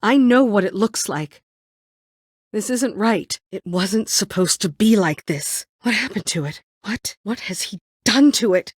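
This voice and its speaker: Weary bitter survivor lady for a video game, slow n husky, curt, dry sarcasm. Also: medium pitch, animated, no room sound. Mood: tense, indignant. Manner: brooding, curt, dryly sardonic. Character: bitter, world-weary woman survivor seeking vengeance. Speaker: voice actress in a story video game. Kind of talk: dramatic video game voice acting.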